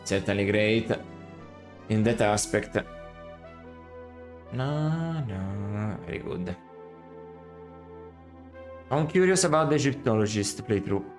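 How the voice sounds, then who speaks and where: A man's voice: on the low side, lively intonation, takes it slowly, pauses often, soft background music. Musing man commenting on a game. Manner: musing, self-correcting, critical asides. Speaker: streamer playing a retro game.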